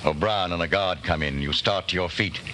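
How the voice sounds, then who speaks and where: A man playing a grave narrator in a 1940s radio drama, speaking in a solemn, deliberate, ominous way. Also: low voice, sharp tone. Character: grave male narrator telling the prisoner's ordeal in second person. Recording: very noisy vintage 1940s radio broadcast.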